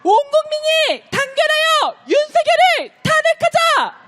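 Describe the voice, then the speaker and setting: Very high-pitched woman shouting rally slogans. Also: very animated, a little slow, over a noisy crowd, very noisy. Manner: impassioned, declamatory, shouting slogans. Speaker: rally host leading chants. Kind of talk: protest speech and chant leading through loudspeakers. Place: outdoor street march beside a park.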